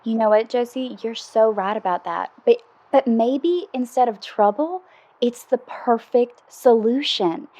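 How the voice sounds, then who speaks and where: A woman's voice: a little high, measured pace, warm tone, muffled recording. A woman playing an upbeat, guileless, lustful radio show caller in an audio comedy, bubbly and frank as she overshares. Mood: delighted, frank. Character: Doreen, upbeat, guileless, lustful female radio call-in listener. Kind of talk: audio comedy drama dialogue.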